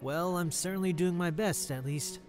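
Man acting affable ambitious attorney, high-pitched, polished, courteous, audio drama, faint music behind. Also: moderate pace, close to an everyday voice. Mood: courteous, eager.

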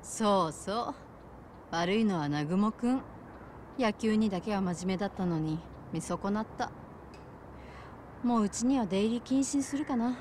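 A woman's voice: medium pitch, animated, measured pace, pauses often, darkish tone, slight background noise, close to an everyday voice. An actress playing a forthright woman who runs a small bar in a video game drama, casual and familiar in manner. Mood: reproachful, casual. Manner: casual, conversational.

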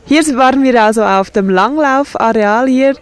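A woman voicing a sign language sports report and interviews in a measured, steady way. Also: fairly high pitch, smooth.

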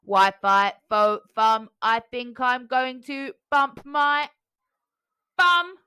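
A woman voicing a menacing robotic giant melon in a storybook, chanting loudly. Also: sits high, steady tone, smooth, heavily acted. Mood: booming. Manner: loud, slow, robotic chanting.

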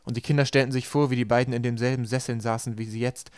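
A man narrates an audiobook in a medium-pitched voice. He reads the scripted prose at a brisk clip, and the sound is close and dry. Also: little pitch movement, a little mellow, clean tone, no room sound.

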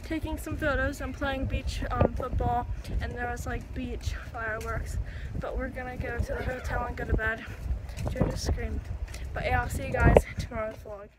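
A woman's voice: a little high, steady tone, normal speed, heavy background noise. Chatty young woman vlogging on her phone. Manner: chatty, upbeat, lots of "so" and "um". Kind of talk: casual vlog chat to camera. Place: hotel room and outdoors at a beach town. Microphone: phone camera at arm's length.

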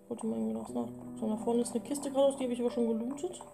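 A woman's voice: a little high, steady tone, very fast, creaky. A woman in her twenties co-hosting a let's play, casually explaining the game. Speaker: gaming video co-host. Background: guitar music.

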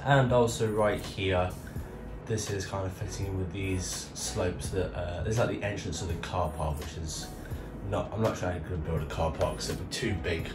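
A man's voice: deep voice, normal speed. A man giving a casual, unscripted show-and-tell as a vlog host, thinking aloud in an informal way. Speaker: YouTube host showing a hobby build. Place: indoor room beside the build. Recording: echoey room, distant mic, music behind.

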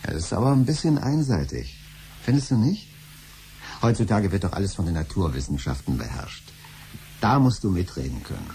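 A man's voice: sits low, big pitch swings, fairly quick, dark tone. A man acting an impatient, scheming husband and father in a 1970s German radio drama, speaking colloquially in a hesitant, pressing way. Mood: coaxing. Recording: slightly noisy 1970s radio recording with hum.

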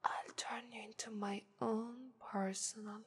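A woman's voice: on the high side, steady tone. A woman voicing an arrogant, seductive female demon of lust in a whispered ASMR roleplay, with condescending, teasing taunts. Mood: smug. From Italy. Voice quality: breathy whisper, slow and teasing. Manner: condescending, purring "ara ara" taunts. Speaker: voice actress.

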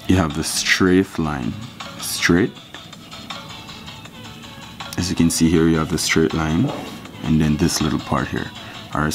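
A man from Jamaica walking through a fishing knot step by step in a relaxed, casual tutorial. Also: a bass voice. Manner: relaxed, step-by-step instruction with counting. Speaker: fishing channel host.

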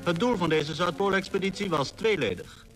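High-voiced man narrating 1940s radio, over music. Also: lively intonation, normal speed, low-quality recording. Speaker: announcer and narrator. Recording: 1940s radio disc recording.

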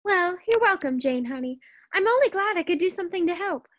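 Woman playing Liz, very high-voiced wisecracking housewife. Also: expressive, a little fast. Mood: wry, reassuring. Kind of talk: 1940s radio sitcom acting.

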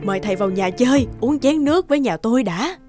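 Woman voicing a hearty, high-pitched village housewife. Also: expressive, fast, over music, natural voice. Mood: grateful, hospitable. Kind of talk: Vietnamese folk-tale cartoon dubbing.

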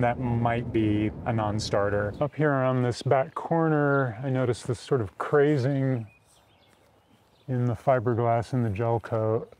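Measured man touring an old camper. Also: medium pitch, a little mellow, a little hiss or hum. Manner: thoughtful, measured, frequent pauses and fillers. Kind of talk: casual walkthrough narration. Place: small camper trailer and outdoors, plus a dim room for to-camera parts.

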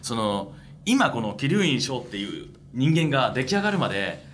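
A man's voice: medium pitch, big pitch swings, moderate pace, fairly bright tone. A man hosting a radio show, interviewing his guest in a casual way. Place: small room, indoors. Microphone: microphone on a stand at a table.

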